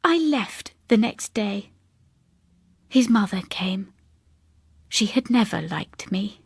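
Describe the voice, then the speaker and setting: Sombre older spinster, played by a female reader, grave and halting audiobook ghost tale, breathy, dry close sound. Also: medium pitch, animated, no room sound, heavily acted. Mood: haunted, grieving. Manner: hushed, grave, halting delivery. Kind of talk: dramatic ghost story reading.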